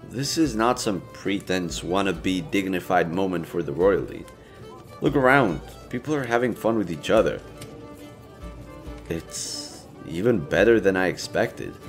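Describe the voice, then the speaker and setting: A young man playing a playful, self-assured elven leader in a romantic audio roleplay, casual, teasing and expressive. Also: deep voice. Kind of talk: intimate romantic roleplay acting, conversational.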